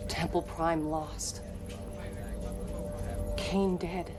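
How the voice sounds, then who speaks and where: A woman's voice: fairly low pitch, steady tone, extremely slow. A woman acting a fervently devoted, suspicious priestess of a militant cult in a dramatic video game cutscene, speaking with solemn, theatrical intensity. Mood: grave urgency. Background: loud dramatic music.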